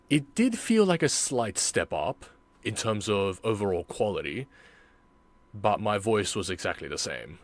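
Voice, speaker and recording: A man's voice: lowish voice, no room sound. A man giving casual, reflective and self-critical commentary as a video creator and amateur voice actor reacting to his work.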